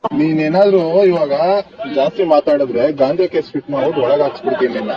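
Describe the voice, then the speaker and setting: A man's voice: fairly high pitch, fast talker, crowd noise, lightly acted. A man with a Karnataka accent playing a stern middle-aged police inspector in a prank call, coaxing and threatening as he interrogates. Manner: interrogating, repeats questions, switches between coaxing and threats. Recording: muffled, distant phone call with loud noise.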